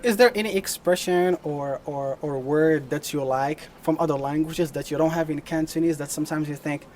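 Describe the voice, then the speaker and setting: A man interviewing a guest, asking questions in an upbeat, quick manner. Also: fairly high pitch, darkish tone, a little hiss or hum. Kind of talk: casual interview.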